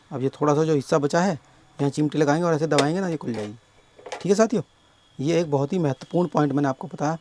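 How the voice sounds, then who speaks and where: A man with a sore, hoarse throat explaining a speaker repair step by step at his workbench, often addressing viewers as friends. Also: on the high side, darkish tone, slight background noise. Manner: explains slowly, keeps calling viewers friends. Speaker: electronics repair technician.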